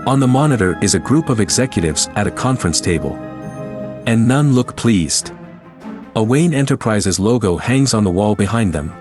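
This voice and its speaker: A man's voice: fairly low pitch, animated, normal speed. A man reading scripted screenplay narration as the narrator of an audio drama. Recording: music behind the voice.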